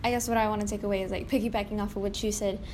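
A woman's voice: medium pitch, flat delivery, rapid-fire, darkish tone. A young woman in a casual, candid group conversation, talking in a self-effacing, conversational way peppered with "like". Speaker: podcast guest, play cast member. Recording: faint noise, room ambience.

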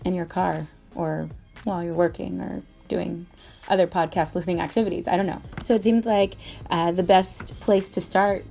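A woman's voice: fairly low pitch, fairly even intonation, moderate pace, creaky, mellow, faint music behind. A woman hosting a podcast, opening the first episode in a casual, filler-heavy way. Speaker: podcast host. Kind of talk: podcast introduction.

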